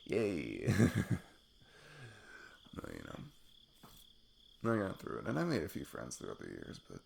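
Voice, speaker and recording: Casting: male actor as shy, loving male best friend for ASMR roleplay, low creaky voice, halting self-deprecating delivery, crickets faintly behind. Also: wildly swooping pitch, darkish tone, a little hiss or hum, full character voice. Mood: vulnerable, self-deprecating, then tender, wistful. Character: sweet, nervous male best friend confessing his love. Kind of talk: intimate ASMR roleplay monologue.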